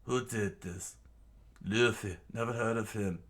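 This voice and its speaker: A man as an arrogant, low-voiced pirate villain. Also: fairly even intonation, unhurried, fairly bright tone. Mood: incredulous. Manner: haughty, contemptuous. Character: arrogant male pirate villain, scornful and incredulous.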